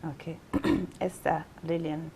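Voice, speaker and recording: A woman's voice: low voice, little pitch movement, takes it slowly, darkish tone, slight background noise. A woman with a Ugandan accent hosting a talk show, speaking directly and giving advice. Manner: direct, advising. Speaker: host.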